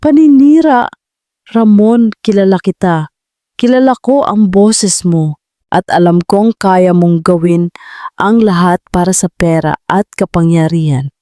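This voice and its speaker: A woman's voice: lowish voice, unhurried, dark tone, no room sound, studio quality, lightly acted. A woman playing an angry, commanding male tycoon in an audio drama, accusing and stern. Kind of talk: Tagalog audio drama acting.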